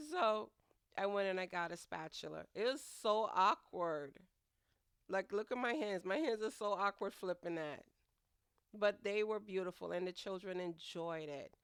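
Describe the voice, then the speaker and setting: A woman's voice: fairly low pitch, a bit bright, clean tone, no room sound, studio quality. A middle-aged woman narrating her home cooking in a chatty, rambling way, sounding nasal from a head cold. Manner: chatty, rambling, laughs at herself. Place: home kitchen.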